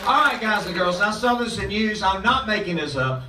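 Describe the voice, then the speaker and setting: A man hosting a comedy show, working the crowd loudly with call-and-response questions. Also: high voice, expressive, fairly quick. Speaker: comedy club emcee. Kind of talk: stand-up hosting. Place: ship comedy club, filmed from the audience. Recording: roomy, distant, loud crowd noise.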